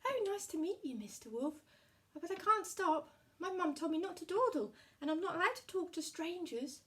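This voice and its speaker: A woman's voice: very high-pitched, animated, moderate pace, fairly bright tone. A woman playing a polite, innocent, cheerful little girl in a puppet show. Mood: polite, guarded.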